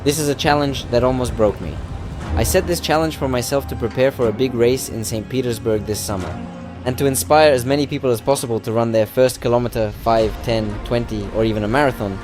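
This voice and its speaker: A man's voice: medium pitch, brisk. Earnest male voice-over narrator over music. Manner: steady, earnest, recounting step by step. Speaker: runner telling his own challenge.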